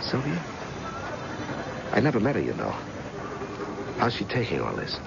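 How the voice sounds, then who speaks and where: An affable, inquisitive visitor in an old radio play, direct and casual. His voice is low and gravelly with animated intonation, on a noisy vintage recording. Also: normal speed, dull audio, exaggerated voice. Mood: concerned, probing. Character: friendly, curious male visitor, direct and probing. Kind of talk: old-time radio drama acting.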